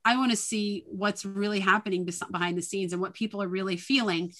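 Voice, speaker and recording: A woman's voice: mid-range voice, fairly even intonation, fairly quick, fairly bright tone. Guest: middle-aged woman, New England accent, chatty, laughs a lot. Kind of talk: casual conversation.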